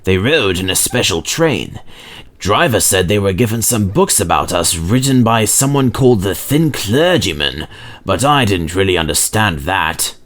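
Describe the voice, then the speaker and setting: A man's voice: deep voice, lively intonation, normal speed, fairly bright tone. A man voicing a proud, chatty male steam engine in a storybook, boasting dramatically about adventures.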